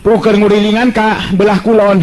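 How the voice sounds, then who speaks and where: A man's voice: little pitch movement, fairly quick, a little mellow, natural voice, rough recording. A man voicing a panicked young village man who arrives breathless with bad news. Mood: flustered. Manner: frantic, high-pitched, stammering.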